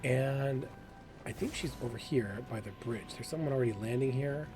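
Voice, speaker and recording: A man's voice: medium pitch, moderate pace, over music. A man hosting a gaming video with casual, chatty commentary, thinking aloud and enthusiastic about the game. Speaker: gaming video creator and host.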